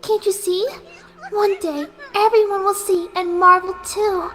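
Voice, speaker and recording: A woman voicing a gentle, soft-spoken young female pegasus pony who is serenely deranged, in a horror fan fiction reading. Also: extremely high pitch, steady tone, pitched above the performer's own voice, full character voice. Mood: serene rapture. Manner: gentle, sweet, unhurried while menacing. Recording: music behind the voice.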